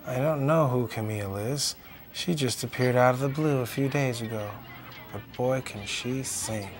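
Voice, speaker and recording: A man's voice: mid-range voice, moderate pace, faint music behind, lightly acted. Man as easygoing lady salamander waitress, storybook, casual, chatty, friendly.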